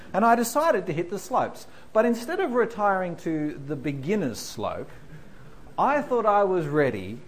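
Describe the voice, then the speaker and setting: Lively self-mocking preacher. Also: male voice, fairly high pitch, slight background noise. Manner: self-deprecating storyteller, animated, laughing through anecdotes. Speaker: pastor. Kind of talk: sermon with humorous stories.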